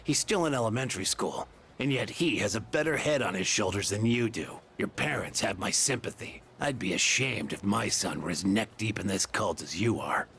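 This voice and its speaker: A man's voice: fairly low pitch, animated, normal speed, vocal fry, a bit bright, slight background noise. An actor playing a stern, exasperated father in a video game, curt and contemptuous. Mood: scornful. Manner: curt, scornful. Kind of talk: English video game voice acting.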